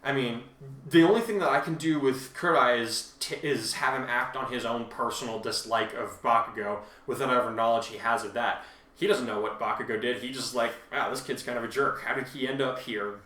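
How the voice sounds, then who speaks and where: Bright-voiced man, roomy distant mic. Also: medium pitch, steady tone, fairly quick. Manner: defensive, emphatic, rambling explanations. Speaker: fan fiction author and co-host. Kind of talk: casual conversational commentary on listener reviews. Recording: roomy, echoey home recording, distant mic.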